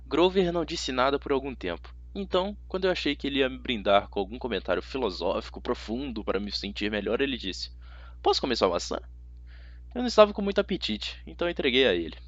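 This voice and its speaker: Animated Brazilian man reading a story. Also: medium pitch, fast, hardly any pauses, dry recording. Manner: dramatic, expressive reading. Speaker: amateur audiobook narrator. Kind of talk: scripted first-person story narration. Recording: slightly dull, dry audio.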